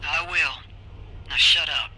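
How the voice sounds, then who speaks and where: An actress playing a tough, war-scarred mech pilot in a video game, blunt and commanding. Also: female voice, low voice, slow, sharp tone, slight background noise, exaggerated voice. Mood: stern, commanding. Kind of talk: video game cutscene acting.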